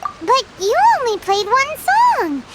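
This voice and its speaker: A woman voicing a tiny, excitable childlike fairy companion in an anime video game, squeaky and cheeky. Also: slow, heavy background noise, heavily acted. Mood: curious, amazed. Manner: exclamatory, cheeky. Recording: loud game music behind.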